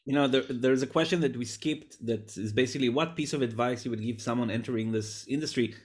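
A man's voice: medium pitch, quick pace, husky. A man hosting an interview in a casual, conversational way. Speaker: interview host. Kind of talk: casual chat.

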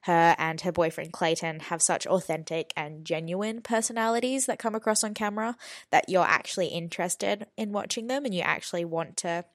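A woman's voice: fairly low pitch, fairly quick, no echo, studio quality. A woman in her twenties hosting a podcast in casual, rambling chat, sounding a little nasal from a head cold. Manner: chatty, rambling, many fillers. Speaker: podcast host.

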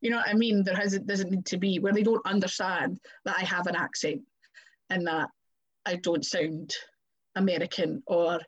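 A woman's voice: fairly low pitch, fairly even intonation, moderate pace. Scottish woman, wrestler guest, chatty, acts out conversations, slightly dull lo-fi sound. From Scotland. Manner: chatty, acts out quoted exchanges. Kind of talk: casual chat.

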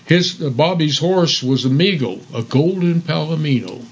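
A man's voice: fairly high pitch, lively intonation, moderate pace, breathy edge, highs cut off. A man co-hosting a radio show in casual conversation.

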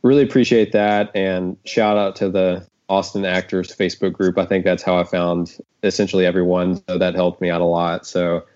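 A man's voice: sits low, little pitch movement, normal speed, hardly any pauses, darkish tone. A man hosting a casual group chat, talking in a self-deprecating way. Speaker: host and creator of an audio drama. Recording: dull lo-fi audio.

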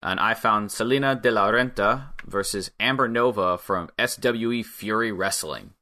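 Wry, bright-voiced podcast co-host man. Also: fairly low pitch, normal speed. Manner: wry, casual, swears freely. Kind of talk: casual chat and match recap.

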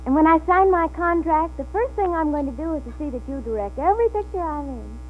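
An earnest, dreamy young hopeful in a 1940s radio play. Her voice sits high. The recording is narrow and tinny, with a clear hum. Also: animated, fairly quick.

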